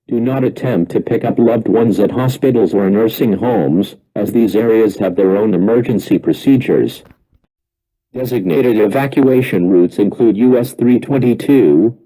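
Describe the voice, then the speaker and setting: A man reading a scripted emergency alert announcement in a formal, measured, impersonal way. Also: lowish voice, dark tone, low-quality recording.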